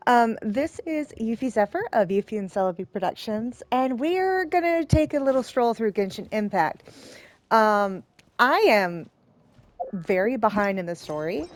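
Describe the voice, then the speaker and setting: A woman's voice: on the high side, animated, a little slow, dry recording. A woman streamer giving casual let's play commentary in a rambling, hesitant, self-deprecating way. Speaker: game streamer, host.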